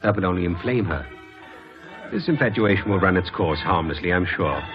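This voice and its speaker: Old radio drama w loud music, calm posh-mannered husband, low voice, lilting delivery. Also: male voice, a little fast, highs cut off. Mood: calm, indulgent. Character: composed, genteel, complacent husband. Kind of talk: 1940s-50s radio drama acting.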